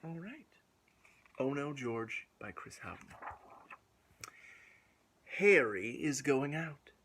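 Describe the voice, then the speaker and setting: A man reading a picture book aloud to young children in an animated, drawn-out way, asking questions and dropping into whispers. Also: mid-range voice, slight background noise. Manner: drawn-out, asks the listeners questions, drops to whispers. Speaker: children's storytime host.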